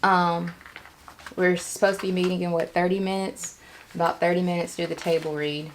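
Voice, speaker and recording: A woman vlogger speaking candidly and informally in a halting way, as a writer talking about her own creative work. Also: fairly low pitch, little pitch movement, slight background noise. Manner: halting, informal, acts out quoted remarks. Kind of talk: candid personal vlog. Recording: echoey room sound.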